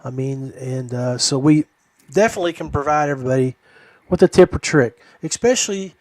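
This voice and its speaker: A man's voice: medium pitch, fairly quick, a little mellow, no room sound. An American man hosting a live podcast in a casual, informal way. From United States, West Virginia. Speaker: podcast host.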